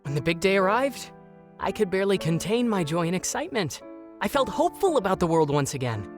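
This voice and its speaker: A man's voice: high voice, sing-song, fairly quick, music in the background. A man playing an anxious, bookish young prince in a dramatic fandub, with a hesitant, overwrought delivery. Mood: wistful, hopeful. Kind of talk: dramatic fandub acting with inner-monologue narration.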